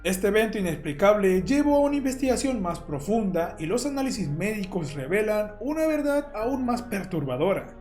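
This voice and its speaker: A man's voice: high voice, big pitch swings, normal speed, few pauses, darkish tone. Man narrating a horror case over music. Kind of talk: scripted documentary-style horror narration.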